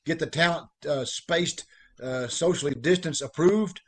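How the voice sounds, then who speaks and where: A man's voice: a little high, a little slow, slightly rough. A man who co-directs a theater troupe, chatting in a good-humored way over a video call from home. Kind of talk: casual video-call chat.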